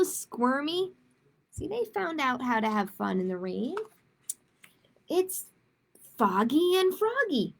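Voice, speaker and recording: A woman hosting a children's storytime, reading picture books aloud expressively and adding simple chatty asides with little check-in questions. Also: high voice. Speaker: library storytime host.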